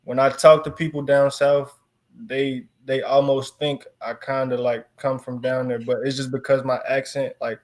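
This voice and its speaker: A young man with a Chicago accent, answering questions in a casual interview, relaxed and full of fillers like "like". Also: mid-range voice, normal speed. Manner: relaxed, filler-heavy, chuckles often.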